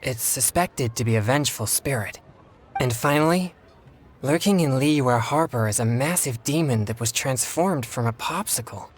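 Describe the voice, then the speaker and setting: An actor playing a polite, earnest young male exorcist in a video game, speaking in formal, courteous, measured phrases. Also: mid-range voice, faint music behind, lightly acted. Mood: earnest, pondering. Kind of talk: scripted video game dialogue.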